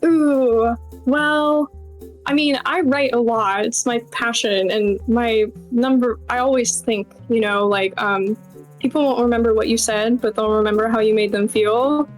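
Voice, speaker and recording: A woman speaks as a guest game developer in a casual interview. Her voice sits fairly high and she talks informally, with plenty of conversational asides. Music plays behind her. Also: fairly even intonation, measured pace, low-quality recording. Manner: chatty, informal, many "like" and "you know" asides.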